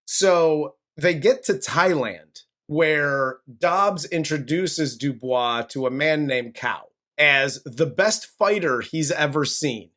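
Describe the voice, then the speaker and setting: A man's voice: a little high, a bit bright. A man co-hosting a casual movie review podcast, recapping the plot with jokes. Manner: joking, recaps plot with mock voices. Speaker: podcast co-host.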